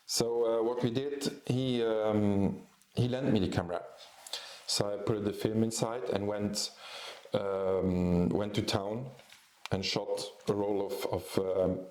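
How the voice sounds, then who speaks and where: A man in his forties from Luxembourg, not a native English speaker, hosting a casual photography vlog and talking hesitantly with many pauses and restarts. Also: lowish voice, darkish tone, reverberant. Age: 40s. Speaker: photographer and YouTube host.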